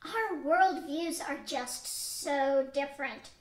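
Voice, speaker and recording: An older woman voices Marge, an earnest and determined old wife in a homespun radio drama. She speaks very high and slowly, reading carefully. Her voice rings in an echoey room. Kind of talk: amateur radio-play acting from a script. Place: small studio with a draped backdrop. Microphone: stand mic.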